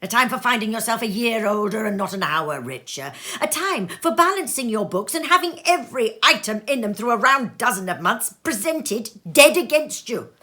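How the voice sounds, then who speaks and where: A woman's voice: a little high, sing-song, moderate pace, hardly any pauses, sharp tone. A woman voicing a bitter, miserly old man who growls scornful outbursts, read at home in a sitting room. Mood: ranting.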